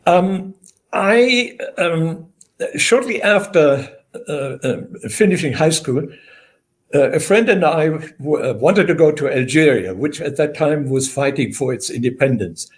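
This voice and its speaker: Older man, German accent, academic guest, halting and measured delivery. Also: fairly high pitch, animated. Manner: measured, many "uh" fillers. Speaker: guest historian. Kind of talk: interview answers, lecturing.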